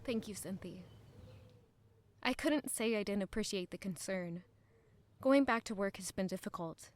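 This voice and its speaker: A woman's voice: mid-range voice, moderate pace, lightly acted. An actress playing a guarded, wistful young lady-in-waiting in an audio drama, speaking earnestly and introspectively. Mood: subdued, wistful. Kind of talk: audio drama narration and dialogue.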